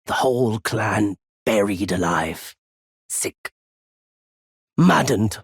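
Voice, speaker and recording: An actor voicing a solitary, world-weary wandering merchant in a fantasy video game, with a husky, raspy voice and measured, formal phrasing. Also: male voice, medium pitch, no echo, studio quality, heavily acted. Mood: bitter, anguished. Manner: slow, measured, formal old-fashioned phrasing. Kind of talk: dramatic fantasy game voice acting.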